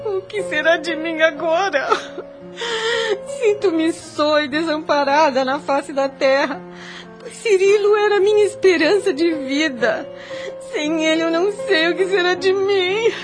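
A woman's voice: very high voice, unhurried, over music. An actress playing a frail, anguished bedridden young mother in a Brazilian radio soap opera, in earnest, formal phrasing with a Brazilian accent. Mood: sobbing, desperate. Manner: earnest, sighing, formal old-fashioned phrasing.

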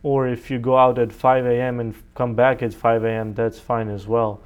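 A man's voice: mid-range voice, level tone, moderate pace, clear, resonant tone. A young man from Serbia, a native Serbian speaker, answering interview questions conversationally as a college student guest. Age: young adult.

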